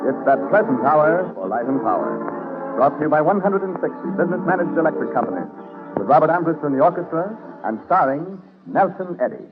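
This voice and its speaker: A man's voice: a little high, big pitch swings, brisk. A man working as a radio announcer, speaking in scripted 1940s broadcast style. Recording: tinny 1940s radio broadcast, music behind.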